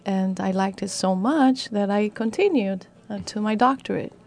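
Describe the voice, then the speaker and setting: Woman psychologist guest from Brazil, friendly, a bit gravelly. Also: medium pitch, moderate pace, darkish tone. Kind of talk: casual interview.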